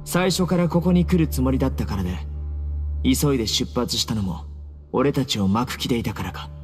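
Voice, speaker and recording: A mid-pitched young man's voice acted by a man for a stern game warrior. He is terse, blunt and serious, with faint music underneath. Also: expressive, brisk, a little mellow, lightly acted. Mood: accusing. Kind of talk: video game dialogue acting.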